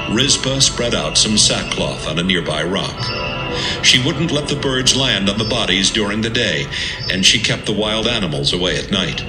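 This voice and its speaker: A man narrating an audio Bible from a script in a measured, serious manner. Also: lowish voice. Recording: roomy sound with music underneath.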